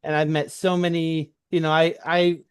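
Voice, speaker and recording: A man's voice: fairly high pitch, flat delivery, moderate pace, clean tone, dry recording, studio quality. A man in a casual conversational interview, talking earnestly as a host who shares his own experience and often checks for agreement.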